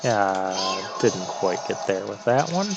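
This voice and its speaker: A man giving casual, halting commentary as he plays through a video game. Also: low-pitched, animated, creaky. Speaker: gamer narrating a playthrough. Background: video game music.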